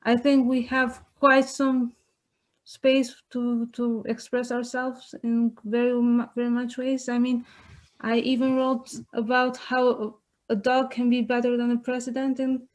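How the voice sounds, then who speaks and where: High, even-toned woman from Kosovo. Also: a little slow. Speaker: writer on a panel. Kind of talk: webinar discussion. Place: online video call.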